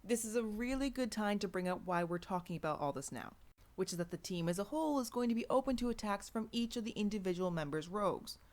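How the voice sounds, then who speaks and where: A narrator plays a woman leading a superhero team in an audiobook. She is calm and organised, with a patient, businesslike manner. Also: medium pitch, fast, voice pushed up, close to an everyday voice.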